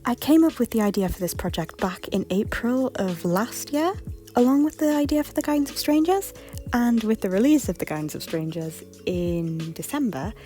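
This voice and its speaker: Woman, medium pitch, scripted announcement, polite explanatory delivery, background music. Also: dark tone. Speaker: creator announcing a voice casting call.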